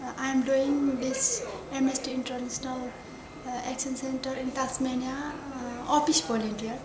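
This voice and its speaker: A woman from Nepal with a Nepali accent, introducing herself as an office volunteer in halting, non-fluent phrases. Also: sits high, steady tone. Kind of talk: simple interview self-introduction. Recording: echoey, distant mic, noisy background.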